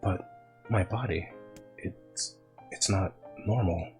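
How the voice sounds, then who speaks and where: A man voicing a frightened, lonely young girl who speaks softly and haltingly. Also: deep voice, faint music behind. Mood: tearful.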